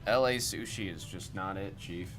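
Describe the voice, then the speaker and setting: A young man with a somewhat low voice hosts a livestream in a loose, chatty way. He rambles and puzzles aloud while answering viewers. Clear music plays under his talk. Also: normal speed. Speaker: livestream host of card breaks. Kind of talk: casual chat reacting to viewer comments.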